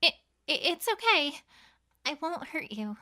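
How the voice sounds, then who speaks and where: A woman plays a young female unicorn pony in an audio storybook. Her voice is extremely high and bright, with lively swings in pitch. She comes across as kind, gentle and hesitant. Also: measured pace, exaggerated voice. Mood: anxious, reassuring.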